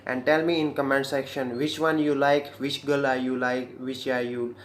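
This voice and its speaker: A man from India, reacting to music videos with short excited exclamations and simple, broken English. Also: medium pitch, moderate pace. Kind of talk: casual music reaction commentary. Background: faint music playing.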